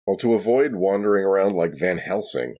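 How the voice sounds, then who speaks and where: A man's voice: deep voice, expressive, brisk, a little mellow. A man playing a tabletop game, talking in an easygoing, matter-of-fact way. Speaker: player. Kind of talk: casual tabletop game chat.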